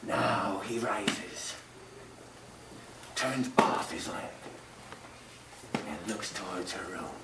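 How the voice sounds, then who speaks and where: An actor playing a sinister hermit storyteller, narrating a radio horror tale in a melodramatic, menacing, drawn-out way. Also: male voice, deep voice. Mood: hushed suspense. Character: the Hermit, sinister cackling storyteller of murder tales. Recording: distant, echoey, noisy old radio recording.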